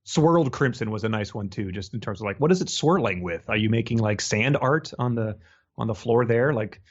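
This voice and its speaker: A man's voice: fairly low pitch, fairly quick, dull audio. A man co-hosting a podcast in casual comedic chat, riffing and often laughing as he talks. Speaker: podcast co-host.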